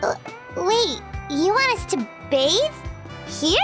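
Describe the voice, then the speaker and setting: A woman voicing a tiny, eager, childlike fairy companion with a squeaky voice in an anime-style video game. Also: sing-song, slow, over music, exaggerated voice. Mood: surprised. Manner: eager, refers to self in third person.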